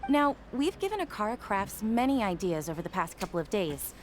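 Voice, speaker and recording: A woman voicing an eager, persistent young academy researcher in a video game, excitable and keen to persuade. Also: mid-range voice, fairly quick, slight background noise, lightly acted.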